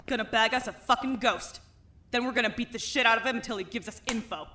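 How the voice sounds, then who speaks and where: A woman's voice: fairly high pitch, fast, sharp tone, a little hiss or hum. A woman playing a brash, foul-mouthed young woman who talks in a crude, cocky way.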